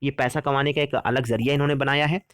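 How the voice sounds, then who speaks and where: A man's voice: medium pitch, fairly even intonation, quick pace, fairly bright tone, no echo, studio quality. A young man giving an informal explainer lesson on an exam. He talks in a conversational way, repeats himself and keeps checking in with the listener. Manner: repetitive, conversational, keeps saying "theek hai". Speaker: exam coaching presenter.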